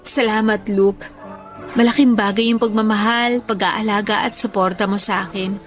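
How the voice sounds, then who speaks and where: An actress playing a tender young teacher who cares for her parents, speaking softly and earnestly in a Tagalog radio drama. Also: mid-range voice, steady tone, moderate pace, faint music behind, close to an everyday voice. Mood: grateful, tender. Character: tender young woman teacher, tired devoted caregiver daughter.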